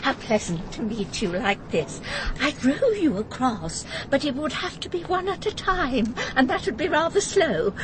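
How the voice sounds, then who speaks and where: A woman's voice: very animated, moderate pace. An actress playing a genteel, polite, chatty woman author in a radio drama. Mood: pleasantly welcoming. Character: genteel, welcoming unmarried woman author living by river. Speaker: actor. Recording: clear background rumble and noise.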